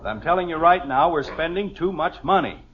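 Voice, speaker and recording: A man's voice: a little high, expressive, fairly quick, a crowd in the background, noisy background, close to an everyday voice. An actor playing a thrifty, exasperated middle-aged father with an American accent in a blustering, sarcastic manner, in an old radio sitcom. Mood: stern, sarcastic. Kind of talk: 1950s radio sitcom acting. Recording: dull vintage radio recording.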